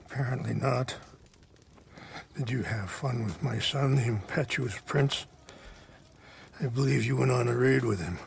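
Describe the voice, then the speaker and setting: An actor playing a gentle, weary old chief and peacemaker in a game cutscene, speaking in a measured, reflective way with pauses. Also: male voice, mid-range voice, vocal fry, slight background noise. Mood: grave, dry. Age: older. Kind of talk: video game cutscene acting.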